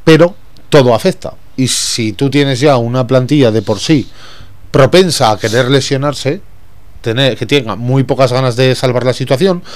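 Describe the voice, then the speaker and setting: A man's voice: mid-range voice, normal speed. A man, talking as a sports pundit in a casual panel, blunt and opinionated.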